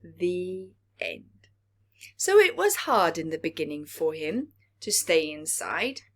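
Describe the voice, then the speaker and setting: A woman's voice: lowish voice, sing-song, slow. A woman reading a picture book aloud to young children, with dramatic delivery and asides to the listeners. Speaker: children's story reader. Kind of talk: expressive read-aloud picture-book narration with whispered asides.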